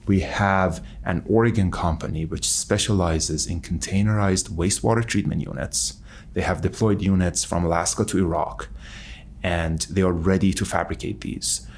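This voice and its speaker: A man's voice: low voice, steady tone, moderate pace. A man who works as a researcher and mentor, talking reflectively in an interview.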